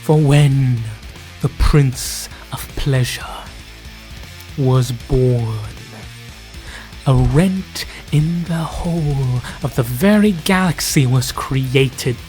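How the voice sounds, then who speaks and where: A narrator telling dark fantasy lore in an ominous, grandiose, drawn-out style that often sinks into hushed whispers. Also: mid-range voice, soft background music. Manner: theatrical, drawn-out delivery with frequent hushed whispers. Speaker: narrator of a fantasy audio drama. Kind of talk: dramatic scripted lore narration.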